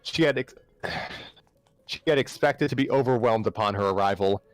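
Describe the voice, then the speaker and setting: A man's voice: mid-range voice, moderate pace, poor audio. A man reading prose narration aloud as an amateur story reader.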